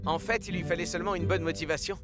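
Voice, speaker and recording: A man's voice: sits high, steady tone, fast, over music, lightly acted. A man voicing an easygoing young footballer with a dry manner in a French cartoon dub.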